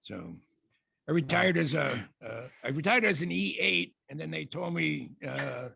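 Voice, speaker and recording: Guest speaker, older man, very gravelly and husky voice, dry close sound, halting storyteller. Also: a little high, lively intonation, sharp tone, no echo. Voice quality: raspy, gravelly voice. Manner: halting, many restarts and fillers. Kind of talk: rambling anecdotal presentation.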